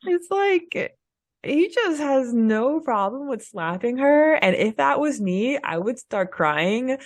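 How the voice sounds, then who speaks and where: A woman co-hosting a podcast, chatting casually as a fan in an informal, halting way. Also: high voice, sing-song, no echo. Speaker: podcast co-host.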